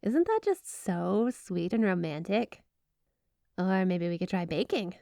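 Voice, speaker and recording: A woman's voice: fairly low pitch, big pitch swings, measured pace, vocal fry, dark tone, no echo, clean studio sound, full character voice. A woman playing a flirty, obsessive yandere snow spirit wife in an ASMR roleplay, gushing, coy and sweetly doting. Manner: gushing, coy, playfully suggestive. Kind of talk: ASMR roleplay addressed to the listener.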